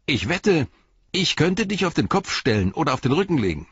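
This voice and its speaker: A man reading a storybook voices a brash, eager young boy in a raised, husky voice. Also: mid-range voice, no echo, muffled recording, voice pushed up. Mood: boastful. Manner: brash, excited, fast.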